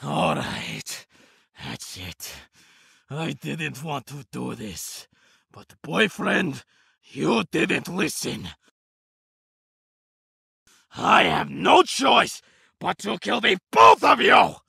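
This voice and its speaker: An actor playing a bitter, vengeful horned undead monster in a game mod cutscene, with a harsh gravelly rasp and a dramatic, menacing delivery. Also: medium pitch, very animated, very slow, pauses often, no room sound, studio quality, exaggerated voice. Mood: cold menace, then enraged. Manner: dramatic, swinging from growled menace to shouting.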